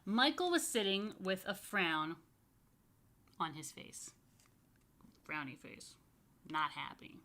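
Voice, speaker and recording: A woman's voice: on the low side, big pitch swings, slow, pauses often, sharp tone, slight background noise. A woman rabbi doing a read-aloud storytime for young children, conversational, with explanatory asides.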